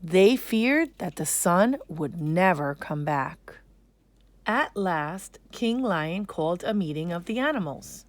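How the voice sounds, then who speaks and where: A woman reading a children's story aloud as an expressive narrator. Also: fairly low pitch, slow pace, dry recording.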